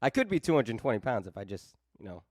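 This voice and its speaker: A man's voice: medium pitch, quick pace, dry recording. Teasing man in his thirties, dry. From New England. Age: 30s. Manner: teasing, flippant, animated storyteller. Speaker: podcast host. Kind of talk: casual chat.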